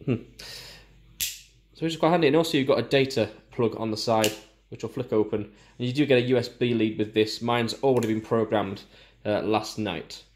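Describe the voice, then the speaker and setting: A man's voice: mid-range voice, normal speed, a little mellow, slight background noise. British man giving a casual product review. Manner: informal, explanatory.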